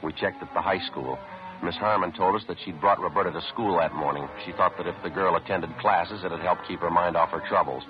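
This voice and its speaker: A man playing a stoic, methodical police detective, narrating in a clipped, deadpan 1950s radio drama style. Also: low-pitched, a little fast, music in the background, natural voice. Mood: sober, businesslike. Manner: deadpan, matter-of-fact. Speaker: narrator and lead actor. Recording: muffled vintage radio recording.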